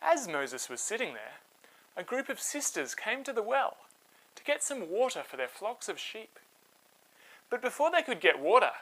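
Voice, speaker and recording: A man tells a children's story as host, with a bright, forceful voice. His pitch moves freely and expressively, giving the scripted narration a dramatic, animated feel. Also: low-quality recording.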